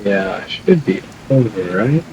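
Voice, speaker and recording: A man's voice: lowish voice, lively intonation, a little slow, vocal fry, lo-fi sound, background noise. A man streaming a video game, giving casual live commentary.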